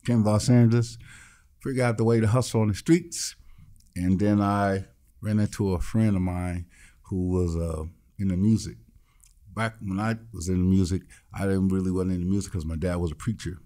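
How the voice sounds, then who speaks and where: A man guesting on a studio podcast, telling his life story in an animated, punchy, streetwise way. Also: low-pitched, moderate pace. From Midwestern United States. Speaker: guest musician and producer. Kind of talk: casual storytelling interview.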